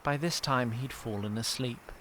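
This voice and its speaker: A man's voice: mid-range voice, normal speed, pushed and bright, a little hiss or hum. A man giving dramatic scripted narration for a fantasy audio drama.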